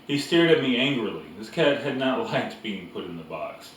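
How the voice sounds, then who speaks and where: A man reading a story aloud as narrator, with deliberate phrasing and pauses. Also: mid-range voice, very animated, fairly bright tone. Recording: echoey room, distant mic, background noise.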